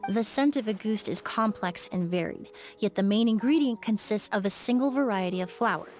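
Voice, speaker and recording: A composed young woman perfumer from a video game, played by an actress, explains things calmly and precisely. Her voice sits at an average pitch, polite and analytical, over faint background music. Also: brisk, lightly acted. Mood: composed, explanatory.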